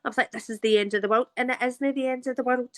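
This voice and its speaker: A woman's voice: a little high, fairly even intonation, racing, fairly bright tone. Woman, Glaswegian accent, thirties, chatty storyteller, casual interview, restarts a lot. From Scotland. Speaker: guest actress.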